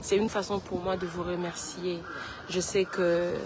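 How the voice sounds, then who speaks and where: A woman's voice: lowish voice, level tone, measured pace, noisy background. A woman, sick with a cold, chatting straight to her followers on a livestream. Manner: chatty, talks straight to viewers. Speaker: page host addressing followers. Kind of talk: casual phone livestream chat.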